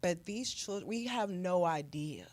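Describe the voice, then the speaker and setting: Earnest woman with a lowish voice. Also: lively intonation, measured pace, darkish tone. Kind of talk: serious heartfelt conversation. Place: indoor radio talk show studio.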